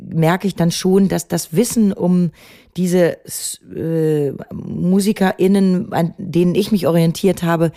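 A middle-aged woman songwriter talking thoughtfully in a relaxed interview, often pausing to search for words. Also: fairly low pitch. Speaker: songwriter and musician.

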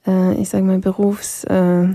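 A Vienna-born woman whose first language is Polish, a singer answering interview questions thoughtfully and pausing to search for words. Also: medium pitch, completely flat delivery, mellow, dry recording, clean studio sound. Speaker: singer guest. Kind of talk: interview conversation.

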